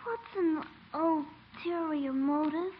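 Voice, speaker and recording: A woman's voice: sits high, slow pace. A woman playing a small, curious, polite child in 1950s radio acting style. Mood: curious, innocent. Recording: dull, noisy 1950 radio recording.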